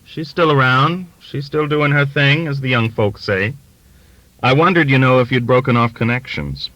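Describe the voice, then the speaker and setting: A man's voice: medium pitch, a bit bright, no room sound, muffled recording, voice pushed up, lightly acted. Man acting out a folksy, wheedling middle-aged hustler. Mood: sly, teasing. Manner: folksy, ingratiating, drawn-out cajoling.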